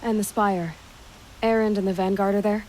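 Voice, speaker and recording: A woman's voice: medium pitch, fairly even intonation, normal speed, a little mellow, a little hiss or hum, close to an everyday voice, rough recording. A woman playing a determined, plain-spoken young warrior in a video game, talking directly. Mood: calm, resolute. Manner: direct, resolute, unadorned. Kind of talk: video game cutscene acting.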